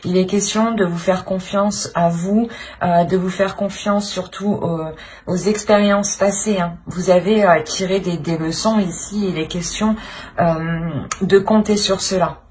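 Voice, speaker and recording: A woman's voice: on the low side, fairly even intonation, measured pace, darkish tone, slight background noise. A woman giving an unscripted tarot reading to viewers, hesitant and searching for words as she repeats phrases. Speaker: tarot reader and channel host.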